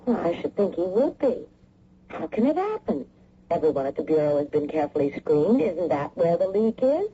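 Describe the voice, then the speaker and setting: An actress playing a worried, inquisitive middle-aged wife in a radio mystery drama. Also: female voice, on the low side, sing-song, normal speed, dark tone, a little hiss or hum. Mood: concerned, pressing. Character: lawyer's wife, inquisitive and worried, mother of a twelve-year-old. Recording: muffled old radio broadcast.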